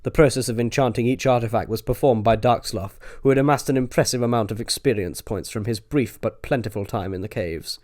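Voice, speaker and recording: A man's voice: mid-range voice, fairly quick, few pauses, a little mellow. A man narrating a scripted adventure story in a dramatic audiobook style.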